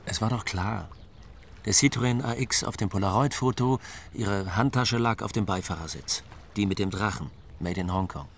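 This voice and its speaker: A man's voice: fairly low pitch, moderate pace, a little hiss or hum, lightly acted. An actor playing a weary, matter-of-fact man recounting events interview-style in a radio drama. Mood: certain. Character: weary, matter-of-fact male friend of the bereaved.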